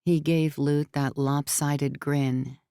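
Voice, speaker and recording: A woman narrating scripted fiction as an audiobook, in a measured storytelling manner. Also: sits low, level tone, mellow, clean tone, dry recording, studio quality.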